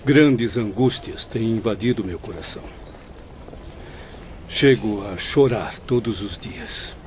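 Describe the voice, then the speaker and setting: A man's voice: lowish voice, slow, a little mellow, slight background noise. A man playing a sensitive, sorrowful student, speaking in earnest, formal lines for an audio drama. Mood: anguished, dejected. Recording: dull lo-fi, faint background ambience.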